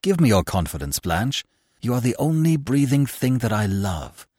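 A man reading the part of a stern, reserved male author who speaks curtly and in measured phrases. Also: rumbling low, very animated, gravelly, slightly rough, dry recording, studio quality, full character voice. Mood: tender. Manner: curt, measured.

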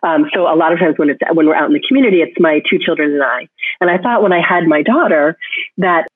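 A woman's voice: low-pitched, fast, dry recording. Woman musing aloud over a tinny phone line. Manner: thinks aloud with frequent restarts and "like". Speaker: photographer being interviewed. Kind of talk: reflective interview talk.

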